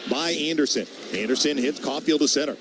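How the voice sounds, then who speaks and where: A man's voice: a little high, brisk, a crowd in the background. A man doing live radio hockey play-by-play in clipped phrases that follow the action.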